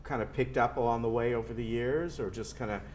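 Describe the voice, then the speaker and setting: A man's voice: medium pitch, fairly quick, a little mellow, slight background noise. A man interviewing guests on a community TV show, friendly and encouraging. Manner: friendly, encouraging with short backchannels.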